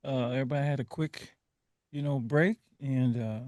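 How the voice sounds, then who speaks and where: A man from Liberia hosting a community radio talk show, telling stories with lots of laughter and animated bursts. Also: mid-range voice, moderate pace, no echo, studio quality. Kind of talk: casual radio chat.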